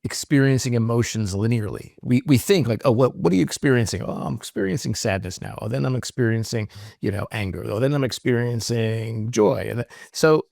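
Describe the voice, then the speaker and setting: A man's voice: mid-range voice, a little fast, creaky, a little mellow, no echo, clean studio sound. A man guest speaking on a podcast, explaining ideas thoughtfully with frequent "um" and "right?". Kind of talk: reflective interview talk.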